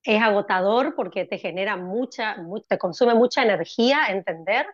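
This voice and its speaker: A middle-aged woman from Argentina with an Argentine accent, chatting as an interview guest and pausing often on fillers and restarts. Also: mid-range voice, no echo, highs cut off. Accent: Argentine Spanish. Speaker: guest, cardiologist. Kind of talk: conversational interview answers.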